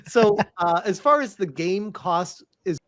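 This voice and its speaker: A man co-hosting a gaming podcast in casual chat, animated and quick to laugh. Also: sits high. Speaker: podcast co-host.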